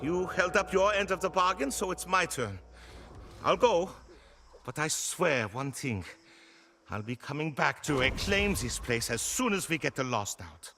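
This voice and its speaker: An actor playing a plain-spoken, honest miner in a video game, with a hearty, folksy manner. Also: male voice, on the high side, animated, a little slow, pushed and bright, a little hiss or hum, heavily acted. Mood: earnest. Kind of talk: video game character dialogue.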